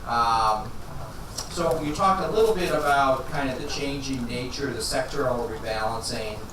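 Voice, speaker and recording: A man hosts the question session after an evening lecture, pausing often on filler sounds. The sound is distant and very reverberant, with noise under it. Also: medium pitch. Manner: hesitant, many filler sounds. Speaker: moderator. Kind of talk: moderating a lecture Q&A. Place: lecture hall. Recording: very echoey hall recording from far off, with noise.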